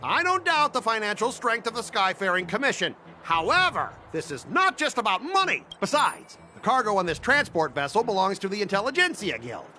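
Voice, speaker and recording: A man's voice: high voice, big pitch swings, a little fast, few pauses, pushed and bright, a little hiss or hum, heavily acted. A man playing an irritable, blustering middle-aged corporate cargo trader in a video game, exasperated and sarcastic in a theatrical way. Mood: indignant, defensive.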